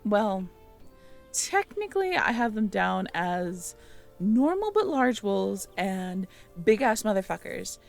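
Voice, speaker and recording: Woman going real slow over her notes, asking the listener if she got it right, soft little laughs. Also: medium pitch, big pitch swings, gravelly, faint music behind. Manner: musing aloud, reading notes, checking back with the listener. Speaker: audio roleplay performer. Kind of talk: intimate audio roleplay, talking to the listener.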